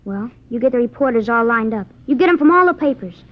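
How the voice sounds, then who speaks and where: A woman's voice: on the high side, lively intonation, fast, lightly acted. A woman playing a defiant, cocky small teenage boy with sullen, snappy American backtalk in a 1950s radio drama. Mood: insistent. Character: defiant, cocky small fourteen-year-old boy thief. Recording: muffled vintage radio recording with noise.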